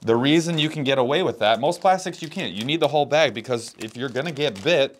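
Relaxed man explaining fishing gear. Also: medium pitch, quick pace. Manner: relaxed and explanatory, with many "like" fillers. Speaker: fishing channel co-host. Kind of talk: casual gear-review chat. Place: indoor tackle studio. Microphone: lapel mic.

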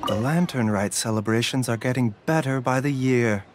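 A man voicing a gentle, courteous male herbal doctor in a video game, speaking in polite, measured, formal phrases. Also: mid-range voice, natural voice. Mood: calm, courteous. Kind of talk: scripted game dialogue. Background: music.

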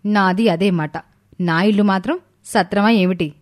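A woman's voice: mid-range voice, moderate pace, natural voice. A woman playing a haughty, scornful daughter-in-law in a cartoon, with a sneering manner. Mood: scornful, blunt.

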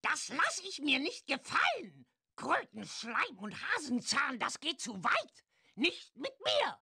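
Creaky, high-pitched wicked old witch. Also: huge pitch swings, bright, forceful tone, heavily acted. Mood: defiant, commanding. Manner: cackling, theatrical, drawn-out delivery. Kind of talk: German children's audio-play acting in a game.

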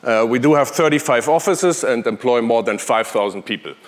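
A man's voice: mid-range voice, expressive, fairly quick. A man in his forties with a German accent, giving a conference keynote on a large hall stage through a headset mic, chatty with many fillers and restarts. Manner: conversational, with frequent fillers and restarts. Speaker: conference speaker, tech executive.

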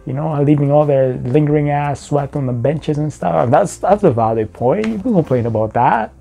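A man's voice: a little high, normal speed, gravelly, warm tone, a little hiss or hum. A young man hosting a reaction video, talking in a laid-back, mocking way with lots of slang, at a webcam. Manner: laid-back, mocking, says bro often. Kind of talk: casual commentary.

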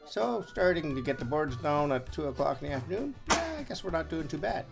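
A man's voice: a little high, big pitch swings, a little fast, creaky, loud music behind the voice. A man giving a casual, folksy running commentary as a vlog host, explaining as he goes.

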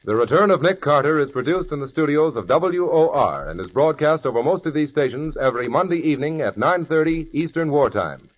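A man announcing the credits of a 1940s radio drama in a crisp, formal broadcast manner. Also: on the high side, animated, fairly quick, few pauses. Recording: dull 1940s radio recording.

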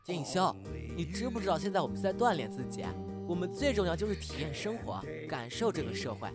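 A man's voice: sits high, sing-song, fast talker. A man playing a nagging, thrifty, domestic young man in an audio drama. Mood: scolding. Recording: loud background music.

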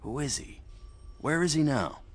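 A man's voice: on the high side, expressive, measured pace. An actor playing an intensely curious, insistent man asking questions in a pulp thriller audio drama. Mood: urgent curiosity.

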